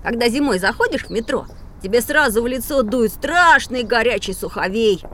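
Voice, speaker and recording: A woman's voice: fairly high pitch, lively intonation, normal speed, a bit bright, slight background noise. A woman playing a cheeky, talkative little boy in a scripted educational audio play. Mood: eager, boastful. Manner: eager, boastful.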